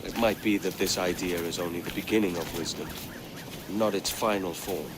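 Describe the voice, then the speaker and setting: A man playing a low-voiced blunt pirate captain. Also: steady tone, moderate pace, background noise, close to an everyday voice. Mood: earnest. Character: weathered male pirate captain seeking redemption.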